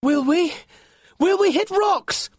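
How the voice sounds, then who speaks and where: An earnest, truthful young boy, voiced by a man reading aloud, pitched well above the reader's own voice. He sounds honest and a little anxious, with a husky grain. The recording is close and dry, like an audiobook. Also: lively intonation, slow, a little mellow, dry recording, full character voice. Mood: shouting.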